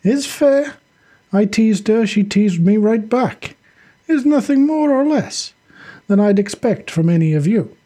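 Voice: mid-range voice, animated, unhurried, mellow, pitched above the performer's own voice. A narrator voicing a good-humored male squad commander in an audiobook. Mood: amused, unbothered.

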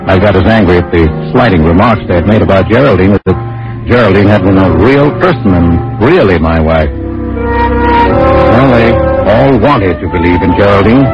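A timid, secretive office clerk in vintage radio drama. His voice sits fairly low and he hesitates politely, stumbling over his words. The old recording is muffled, with music behind him. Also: expressive, moderate pace. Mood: confessional. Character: timid, secretive bachelor office clerk who invents a wife. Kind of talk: old-time radio drama acting with confessional narration.

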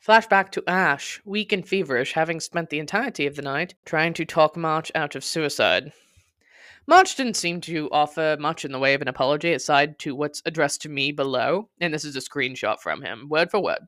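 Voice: medium pitch, animated, normal speed, fairly bright tone, dry recording, studio quality. A podcast host delivering dramatic scripted storytelling in a theatrical, sardonic, verbose manner with scornful emphasis.

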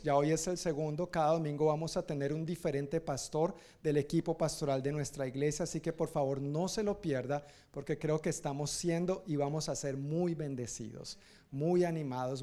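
Male pastor, slightly high pitch, mellow tone, informal and joking, church announcements, self-correcting. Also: moderate pace. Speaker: pastor leading announcements and introducing the speaker.

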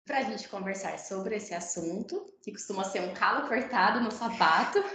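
A woman's voice: mid-range voice, slight background noise. A Brazilian woman hosting a podcast, presenting and interviewing guests. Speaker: podcast host. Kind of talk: scripted introduction and interview. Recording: roomy echo, music behind the voice. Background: music.

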